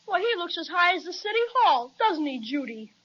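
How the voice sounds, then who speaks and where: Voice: very high-pitched, very animated, brisk, sharp tone, slight background noise, full character voice. An actor playing an eager, plucky young boy with a gee-whiz, earnest manner in an old children's radio serial. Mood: excited. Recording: dull, band-limited vintage radio recording.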